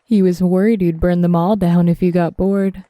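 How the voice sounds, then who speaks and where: A young woman playing a tough, sardonic zombie killer in an audio drama, with a deadpan, teasing, brisk delivery. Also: lowish voice, no echo, highs cut off, natural voice. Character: tough, sardonic twenty-five-year-old woman, cocky zombie killer. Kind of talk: audio drama acting, casual dialogue.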